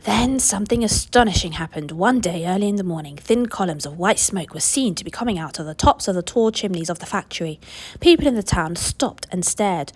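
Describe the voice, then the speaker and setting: A woman teacher reading a children's book aloud in a clear, expressive storytelling way. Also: on the low side, a little fast.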